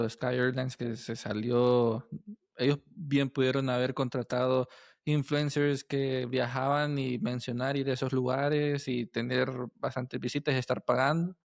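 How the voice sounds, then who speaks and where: A man hosting a podcast interview, asking casual questions in halting, tentative phrasing. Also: mid-range voice, vocal fry, dry recording, studio quality.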